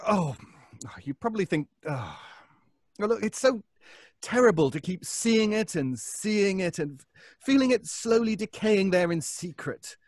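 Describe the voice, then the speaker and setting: An actor playing a nervous, obsessive neighbor in an old-time radio suspense drama, stammering and pleading. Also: male voice, sits high, expressive, measured pace, a little mellow, no room sound, studio quality. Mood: anguished, nervous. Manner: stammering, hesitant, breaking off.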